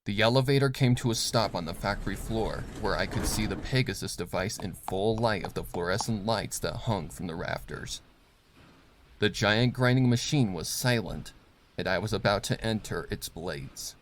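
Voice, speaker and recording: A man's voice: lowish voice, normal speed, slight background noise. A man reading fan fiction as a scripted, dramatic narration with a grave, suspenseful delivery.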